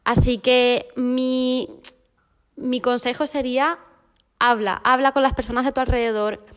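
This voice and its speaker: Una mujer joven con acento de España, invitada en un pódcast, charlando y contando anécdotas entre risas. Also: female voice, high-pitched, flat delivery, measured pace, clear, resonant tone. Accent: Spain Spanish. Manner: chatty, laughing storyteller. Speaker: podcast guest. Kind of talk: casual conversation.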